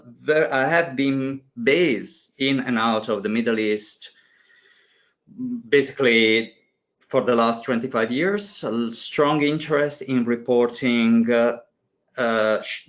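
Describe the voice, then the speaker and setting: A man's voice: mid-range voice, fairly bright tone. A man in his forties, a course leader, introducing himself informally and stopping and restarting often. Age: 40s. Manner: halting, frequent restarts and fillers. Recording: dull lo-fi audio.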